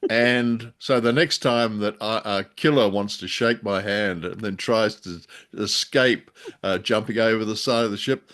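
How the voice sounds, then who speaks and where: A man co-hosting a casual discussion, speaking haltingly with a wry, chuckling humor. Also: lowish voice, fairly bright tone. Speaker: show co-host. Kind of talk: casual discussion of an old radio drama.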